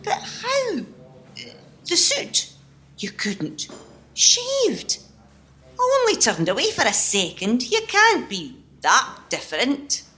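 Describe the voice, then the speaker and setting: Woman acting a feisty, very animated woman. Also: high-pitched, heavily acted. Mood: astonished. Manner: brisk, incredulous, scolding. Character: feisty, sceptical, kind-hearted working woman on day off. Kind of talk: amateur radio play acting.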